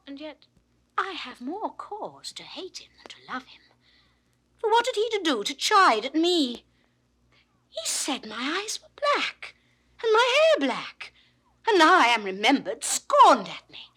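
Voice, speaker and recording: Bright, swooping young shepherdess. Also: female voice, fairly high pitch, husky, heavily acted. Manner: theatrical, swinging between musing and indignation. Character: proud, fickle young shepherdess smitten with a youth. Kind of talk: classical Shakespearean stage acting. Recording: 1960s studio audio drama recording.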